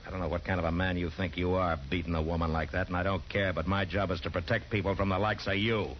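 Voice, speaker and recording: An actor playing a steady, protective Old West marshal, terse and firm, with an American accent in a 1950s radio western. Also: male voice, low-pitched, quick pace, lightly acted. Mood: stern, commanding. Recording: muffled vintage radio recording, faint noise.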